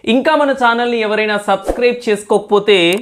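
A woman's voice: on the high side, fairly quick, slight background noise. A woman telling a moral story as host, teacherly, asking questions and repeating answers as if to a young child.

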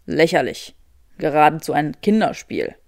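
A woman's voice: sits low, sing-song, normal speed, a little mellow, no room sound, clean studio sound, voice pushed down. A woman voicing a haughty, skeptical young wizard man with a drily sardonic drawl in an audiobook. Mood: dry, skeptical.

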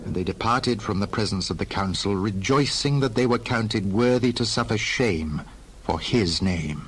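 A man narrating dramatized scripture in a formal, expressive storytelling manner. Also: fairly low pitch, moderate pace, a little hiss or hum.

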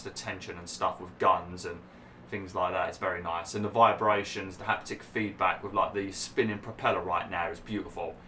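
A man's voice: low-pitched, sharp tone, slight background noise. A young man commentating over gameplay, chatty and grumbling, saying he is losing his voice. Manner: chatty, grumbling, laughs at himself. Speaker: gaming YouTuber.

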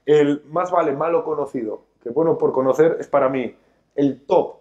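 A man's voice: on the high side, normal speed, a little mellow, lo-fi sound. Emphatic man lecturing on a sofa. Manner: emphatic, lecturing, gestures a lot while talking. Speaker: financial educator, show host. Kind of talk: studio talk show discussion. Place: studio set, seated on a sofa.

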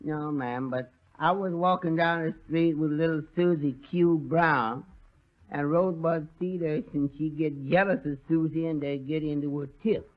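An actor playing a good-natured, simple, deferential neighbor who drawls, chuckles and muddles words in a 1930s radio comedy. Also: male voice, a little high, normal speed, natural voice. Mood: giggly, deferential. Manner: drawling, malapropisms, frequent chuckling. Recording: muffled 1930s radio recording.

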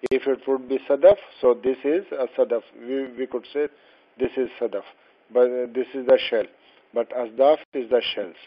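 A man teaching an Arabic textbook lesson, who is not a native speaker of Arabic, explaining slowly and patiently with frequent fillers and restarts. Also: mid-range voice, fairly even intonation. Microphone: voice-over over slides. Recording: dull lo-fi voice-over.

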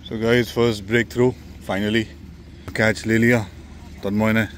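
A man's voice: on the low side, little pitch movement, extremely slow, slight background noise. A man casually narrating a match-day vlog at an outdoor cricket ground, chatty and explaining the plays as they happen. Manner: chatty, mixes English into Hindi, explains plays. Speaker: vlogger and amateur cricket player.

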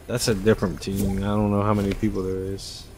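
A man's voice: lowish voice, level tone, quick pace, a little mellow, a little hiss or hum. A man in his twenties chatting casually with friends while streaming a game, laid-back and slangy, swearing freely. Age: 20s. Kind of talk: casual gaming chat with friends. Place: indoor room, seated at a desk.

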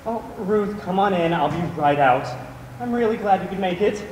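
A man's voice: high-pitched, animated, normal speed, darkish tone. A young man on stage playing a flamboyant, witty, ailing drag queen with campy, projected delivery. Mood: wry, weary. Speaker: stage actor in a school play. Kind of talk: theatrical stage acting. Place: large theatre stage, indoors. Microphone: far camera. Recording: echoey theatre, distant microphone, clear noise.

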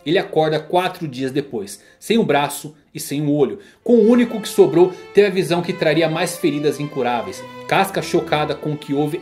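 A man's voice: on the high side, darkish tone. A man with a Brazilian accent delivering dramatic scripted narration, like a storyteller explaining a saga. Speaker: narrator of an anime and manga explainer channel.

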